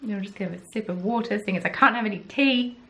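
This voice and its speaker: A young woman with a Southern English accent talks casually to camera as a podcast host. She rambles and pokes fun at herself, with a middle-pitched voice. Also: quick pace, fairly bright tone. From south of England. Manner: chatty, rambling, self-deprecating. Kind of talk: casual chat.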